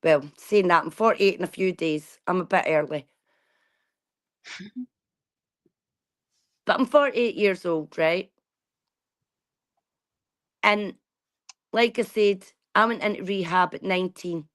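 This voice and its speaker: A woman in her forties talks straight to her audience in a casual, outspoken way. Her voice sits a little low for a woman, and she swears without holding back. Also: slow, long pauses. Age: 40s. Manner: blunt, outspoken, swears freely. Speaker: vlogger. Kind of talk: casual chat.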